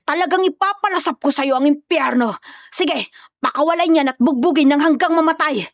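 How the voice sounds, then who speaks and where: A woman's voice: sits high, moderate pace, no echo, studio quality, voice pushed up. A woman voicing an arrogant, corrupt male mayor, a villain who speaks with emphatic threats in an audio story. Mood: enraged.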